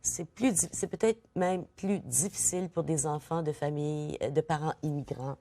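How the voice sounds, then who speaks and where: A woman hosts a conversational interview in a low, mellow voice. She affirms her guest often with quick agreements and steers the talk along. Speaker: talk show host.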